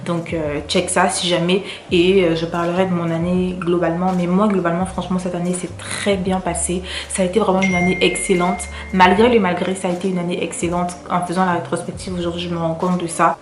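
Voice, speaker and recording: A woman's voice: lowish voice, little pitch movement, brisk, few pauses, reverberant, background noise. A woman from Cameroon with a French accent, chatting to her viewers in a casual vlog, repeating words for emphasis. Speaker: vlogger.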